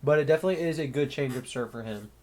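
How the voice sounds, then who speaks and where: A man's voice: mid-range voice, very fast, darkish tone. A young man with a slightly nasal, stuffy voice from a cold, casually calling a match play by play on his own and thinking aloud between points. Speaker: sports commentator. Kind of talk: solo play-by-play commentary.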